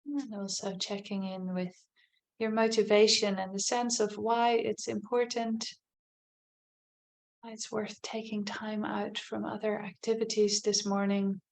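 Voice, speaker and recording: Gentle, unhurried woman guiding meditation. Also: medium pitch, little pitch movement, gravelly. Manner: gentle, unhurried, softly inviting, with long pauses. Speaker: meditation teacher. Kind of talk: guided body scan meditation.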